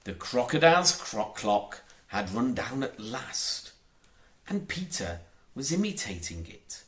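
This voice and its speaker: A man reading a children's storybook aloud as an audiobook narrator, in a theatrical, expressive way. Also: lowish voice. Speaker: audiobook reader.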